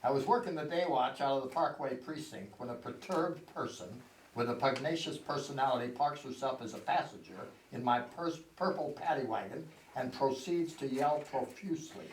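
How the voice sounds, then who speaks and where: A man's voice: medium pitch, normal speed, slight background noise, close to an everyday voice. Man playing a hard-boiled cop, echoey and distant. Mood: hard-boiled, dismissive. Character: wisecracking male police detective in a radio spoof. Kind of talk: amateur old-time radio comedy acting on stage. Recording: echoey hall, distant mic.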